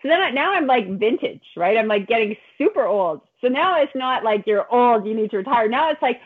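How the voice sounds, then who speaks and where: A woman's voice: fairly high pitch, expressive, brisk, husky. A woman in her late forties, an athlete and coach, talking casually and candidly in an interview, often poking fun at herself. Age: late 40s. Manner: candid, self-deprecating. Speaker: guest, veteran triathlete and running coach. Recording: thin phone-like call audio.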